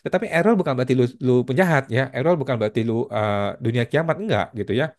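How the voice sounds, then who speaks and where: A man's voice: mid-range voice, expressive, very fast. A man in his thirties talking casually to the camera in a vlog, using slang, calling the viewer "bro" and mixing in English phrases. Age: 30s. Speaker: tax channel host.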